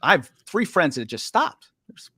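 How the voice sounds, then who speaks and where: A man hosting a podcast in a relaxed, hesitant way, with many uhs and jokey asides. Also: fairly high pitch, normal speed, slightly rough, no echo. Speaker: podcast host. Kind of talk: casual podcast conversation.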